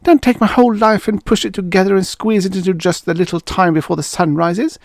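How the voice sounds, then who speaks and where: A man's voice: fairly even intonation, brisk, creaky, no echo, clean studio sound. A man voicing an anguished, fragile wife in a story, pleading in a strained, desperate way. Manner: pleading, strained, high-pitched put-on female voice.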